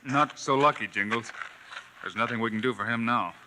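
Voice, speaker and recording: An actor playing a calm, steady frontier lawman in a 1950s radio western, giving firm clipped commands. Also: mid-range voice, normal speed, noisy background, natural voice. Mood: grave.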